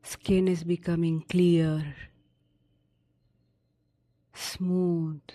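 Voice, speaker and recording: A woman narrating a guided sleep meditation in a soothing, unhurried manner with long pauses between short instructions. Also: fairly low pitch, flat delivery, warm tone.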